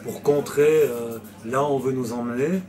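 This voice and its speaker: A man's voice: mid-range voice, steady tone, moderate pace, mellow, faint music behind. A man from Switzerland, a novelist answering interview questions in a hesitant way, with many fillers and restarts.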